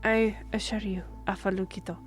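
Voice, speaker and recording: A woman's voice: medium pitch, flat delivery, faint music behind, close to an everyday voice. A woman playing a formal, courteous and firm half-elf envoy in a fantasy audio drama. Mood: grave. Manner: formal, measured, diplomatic. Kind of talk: scripted fantasy audio roleplay.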